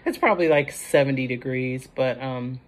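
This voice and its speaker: Chatty low-pitched American woman. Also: moderate pace, slight background noise. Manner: rambling, chatty, self-correcting. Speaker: vlogger showing a grocery haul. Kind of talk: casual chat to camera. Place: indoor room at home.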